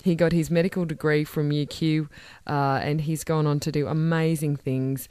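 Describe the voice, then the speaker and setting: A woman's voice: low-pitched, steady tone, normal speed, warm tone, clear, resonant tone, no echo. A woman with an Australian accent hosting a radio interview in a studio, chatty and enthusiastic with small restarts. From northern New South Wales, Australia. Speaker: radio host. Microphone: studio microphone.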